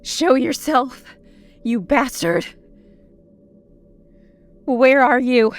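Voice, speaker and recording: Actress as high-pitched, terrified, defiant mother. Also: expressive, drawn-out words, soft background music, exaggerated voice. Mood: angry defiance. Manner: desperate, emotional, pleading. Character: terrified, defiant mother trapped in a haunted house. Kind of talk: horror audio drama acting.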